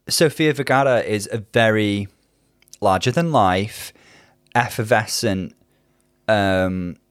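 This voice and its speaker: A man's voice: fairly low pitch, no echo. Chatty, opinionated man, dry sound. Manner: chatty, opinionated, many fillers. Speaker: podcast co-host. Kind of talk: casual review chat.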